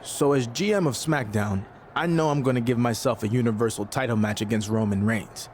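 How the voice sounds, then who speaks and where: An actor playing a cocky, determined young pro wrestler in a video game cutscene, speaking with confident challenge. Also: male voice, medium pitch, a little fast, darkish tone, slight background noise, close to an everyday voice. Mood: wry.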